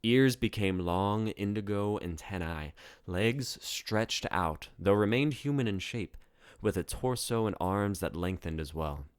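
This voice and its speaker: A man with a deep voice narrates fiction as an author reading his own book. The delivery is scripted storytelling. The recording is very dry, with little room sound. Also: lively intonation, measured pace, smooth, dry recording.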